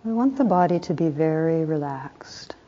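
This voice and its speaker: A woman meditation teacher leading a guided meditation in a soft, calm voice, unhurried, with pauses between short phrases. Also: sits low. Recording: dull, muffled lo-fi recording.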